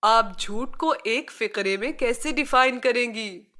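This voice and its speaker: Woman voicing a caring big brother, bright and slow. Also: fairly high pitch. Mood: friendly, probing. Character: caring, gently admonishing older brother Arsalan, a boy. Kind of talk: children's moral story character voice.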